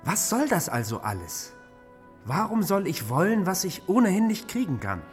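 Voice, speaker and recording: An actor playing an insecure, easily led king in a fantasy radio drama, husky, affable and self-doubting. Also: sits high, big pitch swings, moderate pace, soft background music. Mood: doubtful curiosity.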